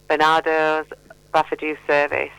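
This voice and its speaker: Middle-aged female charity spokesperson for radio interview; clear, resonant, playful and encouraging, close dry sound. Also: low-pitched, monotone, a little slow, fairly bright tone, no echo, poor audio. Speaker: mental health charity representative, radio guest.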